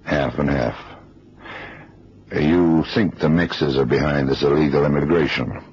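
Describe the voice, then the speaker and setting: Super deep laconic boss detective, dry wit, bossy but casual, old muffled radio show. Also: male voice, steady tone, measured pace, darkish tone, husky, full character voice. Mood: wry, businesslike. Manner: clipped, dry, casually commanding. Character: laconic, dry-witted investigator boss, unhurried and in command. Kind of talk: radio drama acting. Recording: muffled old radio recording, faint noise.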